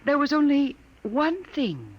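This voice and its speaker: An actress playing an ageless android wife in a 1950s radio drama, soft-spoken, wistful and grieving with quiet dignity. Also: female voice, high-pitched, wildly swooping pitch, slow pace, dull audio, exaggerated voice. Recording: vintage 1950s radio broadcast recording.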